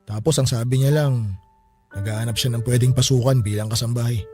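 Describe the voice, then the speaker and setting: A man's voice: mid-range voice, steady tone, a little fast, darkish tone, soft background music, lightly acted. A man with a Filipino accent acting a grieving, guilt-ridden, bitter son in a radio drama. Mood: sorrowful.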